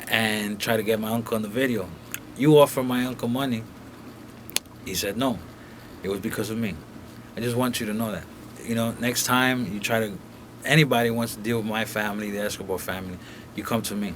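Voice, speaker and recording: A man's voice: on the low side, fairly bright tone, a little hiss or hum. A young man from Colombia talking casually and bluntly as an interview guest in a recording studio, peppering his speech with profanity and filler phrases.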